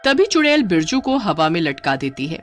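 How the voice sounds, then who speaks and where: Animated woman storyteller. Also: on the low side, moderate pace, soft background music. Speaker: narrator. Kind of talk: scripted animated story narration.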